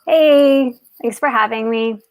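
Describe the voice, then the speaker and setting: A woman's voice: a little high, takes it slowly, no echo. Chatty young woman with a Chicago accent. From Chicago, USA. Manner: chatty and giggly, many fillers like "um" and "like". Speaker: guest speaker, software developer. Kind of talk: casual livestream interview. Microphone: video call.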